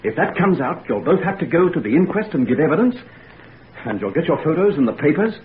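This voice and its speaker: An actor playing a young male student who is smooth and manipulative, speaking in a coaxing, persuasive way. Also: fairly high pitch, very animated, fairly quick. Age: young adult. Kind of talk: 1940s radio acting. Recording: tinny old radio broadcast, faint hiss.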